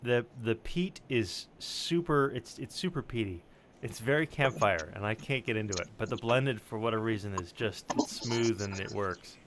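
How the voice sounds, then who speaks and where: A man's voice: on the low side, normal speed. Jokey man chatting over faint noise. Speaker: livestream show panelist. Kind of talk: casual chat, anecdotes.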